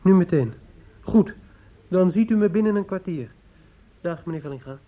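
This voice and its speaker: A man's voice: high-pitched, expressive, normal speed. An actor in a Dutch radio play as a troubled young man, speaking in a pensive, hesitant and formal way. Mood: hesitant, purposeful. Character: troubled, brooding young man, drinking early, polite but insistent. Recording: muffled old radio recording with faint noise.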